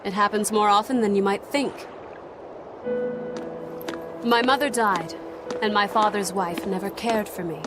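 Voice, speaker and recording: An actress playing a stern young noblewoman exorcist who speaks in a cold, formal, measured way. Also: mid-range voice, music in the background, natural voice. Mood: somber. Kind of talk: game voice acting.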